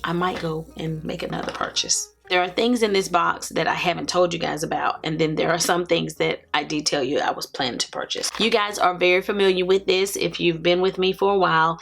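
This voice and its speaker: A woman in her forties, hoarse from allergies, chatting casually as a beauty vlogger. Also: lowish voice, brisk, hardly any pauses, fairly bright tone. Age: 40s. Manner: chatty, laughs often. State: raspy from allergies. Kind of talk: casual haul vlog.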